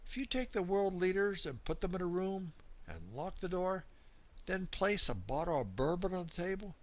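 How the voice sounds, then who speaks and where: Man playing a folksy, rambling drifter, animated delivery. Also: sits high, moderate pace. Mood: confiding, earnest. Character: small, cheerful, rambling middle-aged drifter who drinks. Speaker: story reader. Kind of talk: dramatic first-person monologue reading.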